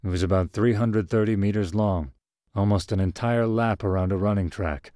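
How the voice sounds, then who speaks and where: Male narrator, scripted first-person fiction. Fairly low voice, very dry sound. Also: fairly even intonation, moderate pace, warm tone, dry recording.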